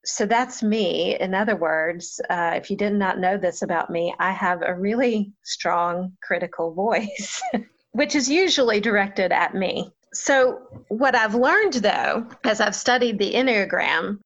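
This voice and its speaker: Woman pastor talking things through thoughtfully, chuckles at herself, mid-pitched voice, kinda dull lo-fi sound. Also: a little slow, a bit bright. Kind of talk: reflective interview answer.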